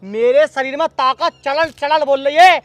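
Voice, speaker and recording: A man's voice: very high voice, measured pace, a bit bright, no room sound. A man playing a hot-headed, boastful village henchman in a comedy sketch.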